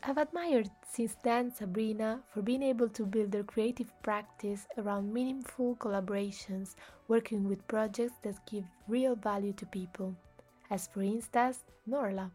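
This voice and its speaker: A woman hosting a podcast who reads a scripted introduction and then asks her guest questions in an earnest, measured way. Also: mid-range voice, warm tone, breathy edge, soft background music. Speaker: podcast host.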